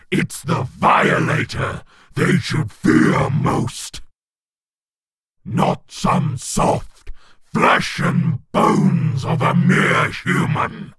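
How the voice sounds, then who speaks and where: A man voicing a gloating, bloodthirsty demon in a comic dub, with a rasping, breathy growl and a sneering, boastful manner. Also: on the high side, a bit bright, heavily acted. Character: gloating, bloodthirsty demon monster serving his master.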